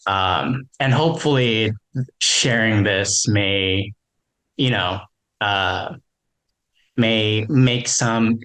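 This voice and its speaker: A man's voice: lowish voice, fairly bright tone, poor audio. A man who stutters, sharing a personal reflection as a webinar panelist, with frequent repeats and fillers.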